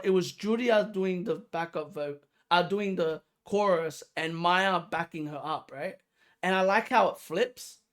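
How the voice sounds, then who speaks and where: An excitable reaction host talking casually and exclaiming over a song, with mild swearing and a creaky voice. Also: mid-range voice. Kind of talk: casual music reaction commentary. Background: pop music playing.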